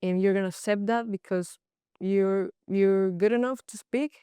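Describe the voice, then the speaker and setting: A young woman from Colombia with a Colombian Spanish accent, a native Spanish speaker speaking halting English with frequent restarts in a casual interview. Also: medium pitch, steady tone, mellow, clear, resonant tone, dry recording, studio quality. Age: young adult. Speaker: guest, college goalkeeper.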